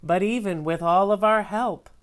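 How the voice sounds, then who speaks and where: A woman reading a children's picture book aloud in a theatrical, expressive way, speaking directly to young viewers. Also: medium pitch, moderate pace.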